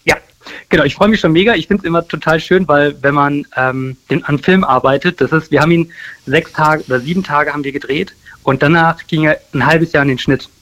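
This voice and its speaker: A man's voice: a little high, steady tone, a little fast, a bit bright, rough recording. A man from Germany, talking casually and enthusiastically as a filmmaker being interviewed. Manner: enthusiastic, effusive.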